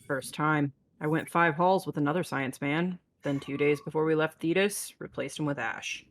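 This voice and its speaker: A woman's voice: deep voice, moderate pace. A woman playing a weary, matter-of-fact spaceship captain with a terse, resigned delivery in a sci-fi table read. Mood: resigned, defensive.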